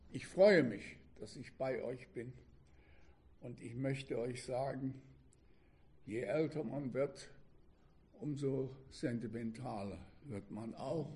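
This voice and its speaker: Slow-spoken elderly male preacher. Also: medium pitch, warm tone. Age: 80s. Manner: measured, deliberate, halting phrases with pauses. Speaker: preacher, former religious radio broadcaster. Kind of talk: sermon opening with personal reminiscences to a congregation.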